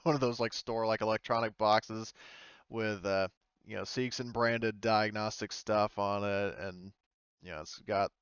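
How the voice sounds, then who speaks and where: A man's voice: on the low side, clean tone, no echo, dull audio. A man running a tabletop game as game master, narrating casually and off the cuff in colloquial language.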